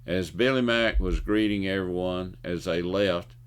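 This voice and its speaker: A man's voice: low-pitched, a little slow, clear, resonant tone. An older man giving scripted, opinionated commentary in a folksy way, now and then stumbling over words as he reads. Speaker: host of a video commentary show. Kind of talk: scripted commentary with jokes and anecdotes.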